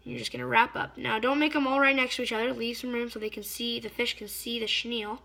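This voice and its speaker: A slightly hoarse woman giving a casual hands-on fly-tying tutorial, chatty and often stopping to search for a word. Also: a little high, steady tone, fast talker, sharp tone. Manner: chatty, stops to search for words.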